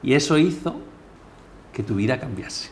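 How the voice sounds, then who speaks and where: A man works as a podcast interviewer, speaking conversationally at an ordinary male pitch. There is faint background noise on the recording. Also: expressive, normal speed.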